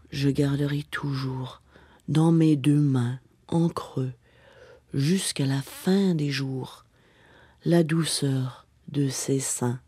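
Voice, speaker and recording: Low, slow, husky woman reciting poetry. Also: dry recording, clean studio sound. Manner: expressive and impassioned, often dropping to a breathy whisper.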